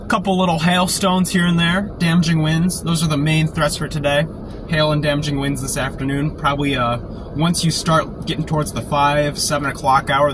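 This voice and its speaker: A man with a sore throat from a cold, keeping his voice quiet and low while chatting casually on a live stream from inside a parked car. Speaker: storm chaser live-streaming. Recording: noisy car interior. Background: car and outdoor traffic noise.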